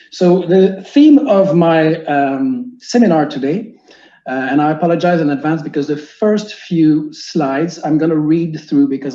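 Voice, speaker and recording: A man's voice: fairly high pitch, dark tone. French-Canadian man presenting a seminar. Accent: Québécois French. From Quebec, Canada. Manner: earnest, explanatory, with frequent fillers and restarts. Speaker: teacher giving a seminar. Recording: echoey room, muffled lo-fi sound.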